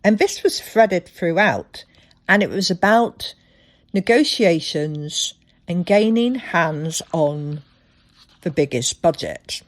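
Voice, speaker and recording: A woman hosting a solo podcast, telling things reflectively with deliberate, dramatic phrasing. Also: lowish voice, animated. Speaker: podcast host.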